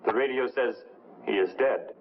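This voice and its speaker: A man acting as a grave soldier reports formally and briefly in a mid-pitched voice. The vintage film sound is dull, with faint noise. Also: normal speed, lightly acted. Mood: grave, urgent.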